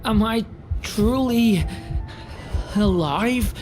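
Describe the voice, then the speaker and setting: A woman's voice: fairly high pitch, lively intonation, extremely slow, over music. A woman voicing a lost, fearful young boy in an anime fantasy game, faint and hesitant. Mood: weak, dazed.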